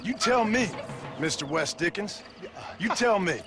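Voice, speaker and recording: An actor playing a stern, terse Western gunslinger in a game. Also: male voice, high-pitched, lively intonation, unhurried, over music. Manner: terse, blunt, dry. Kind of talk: video game cutscene voice acting. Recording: noisy outdoor game audio.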